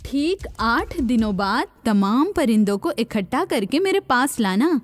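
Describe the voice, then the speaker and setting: Lady reading a kind fatherly god in a kids tale, gentle, higher female voice, music underneath. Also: very animated. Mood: benevolent. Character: kindly male God addressing birds, benevolent.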